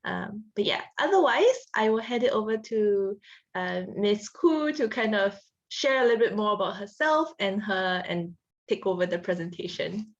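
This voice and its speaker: A woman's voice: mid-range voice, lively intonation, moderate pace, dull audio. Chatty woman hosting a webinar. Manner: chatty, many fillers. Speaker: webinar host. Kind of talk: casual webinar introduction. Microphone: video call.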